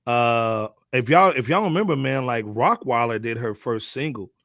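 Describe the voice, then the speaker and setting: A man's voice: medium pitch, normal speed, fairly bright tone, no room sound, studio quality. A man co-hosting a podcast, talking casually in slang and punctuating his phrases with "man". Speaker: podcast co-host.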